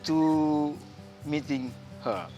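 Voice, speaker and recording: Indonesian man, halting broken English. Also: on the high side, muffled recording, over music. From Indonesia, Papua. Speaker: guest choreographer. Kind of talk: casual interview chat. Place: indoor TV studio set.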